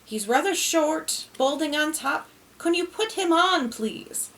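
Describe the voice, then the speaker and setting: Woman doing a high loud bossy widow, testy. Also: lively intonation, slow, far from the mic, exaggerated voice. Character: bossy middle-aged widow at a seance.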